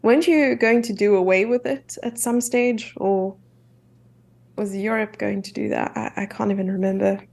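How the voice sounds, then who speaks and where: South african woman streamer, young, casual chess commentary, a bit of vocal fry. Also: mid-range voice, steady tone, darkish tone. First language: English. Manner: chatty, self-deprecating, quick asides.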